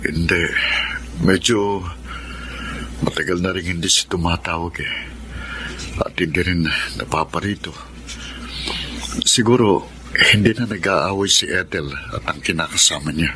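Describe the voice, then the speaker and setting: An actor playing a hot-tempered, guilt-ridden middle-aged husband in a Filipino radio drama. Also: male voice, deep voice, measured pace, long pauses, sharp tone, slight background noise. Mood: subdued, ashamed.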